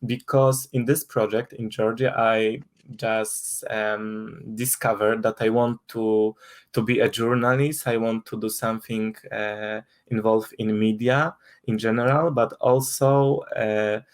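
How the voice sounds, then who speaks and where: A man's voice: on the low side, flat delivery, measured pace. Hesitant young Polish man, non-native English. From Poland. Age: young adult. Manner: hesitant, many restarts and fillers. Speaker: guest. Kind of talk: casual interview talk.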